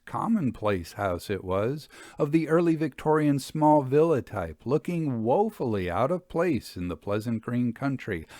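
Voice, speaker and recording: A man reading a Victorian account aloud as a storyteller, in a formal, measured literary manner. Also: medium pitch, a little mellow, no echo, clean studio sound. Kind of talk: expressive scripted narration of a Victorian travel account.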